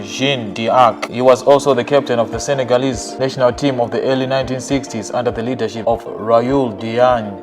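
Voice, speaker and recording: Male narrator, mid pitch, steady scripted delivery, faint music under the voice. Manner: even, list-like reading of facts.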